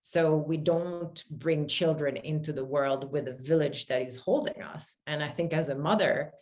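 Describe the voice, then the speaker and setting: A woman's voice: sits low, normal speed, a little mellow. A woman in her forties from Finland and Paris, telling her personal story candidly as an interview guest. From born in Finland, grew up in Paris, France. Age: 40s. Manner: candid, conversational. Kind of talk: casual, reflective personal storytelling.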